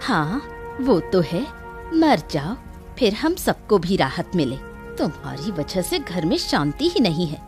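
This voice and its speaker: A woman's voice: lowish voice, sing-song, a little slow, darkish tone, faint music behind. Lady doing mean bossy daughter in law, scolding n taunting, voice swings a lot, story drama. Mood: scornful. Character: cruel, domineering young daughter-in-law, scornful and bossy. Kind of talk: Hindi audio story acting.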